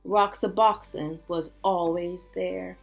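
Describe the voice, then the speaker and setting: A woman teacher reading a storybook aloud to children, expressive and emphatic, with little chatty asides. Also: on the low side, takes it slowly, vocal fry, soft background music.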